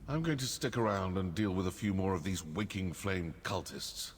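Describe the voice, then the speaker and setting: A man voicing a vengeful, sardonic assassin in a fantasy video game, husky, measured and coolly menacing. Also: low-pitched. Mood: dry menace. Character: vengeful, sardonic male assassin from a dark brotherhood. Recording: long cave-like reverb.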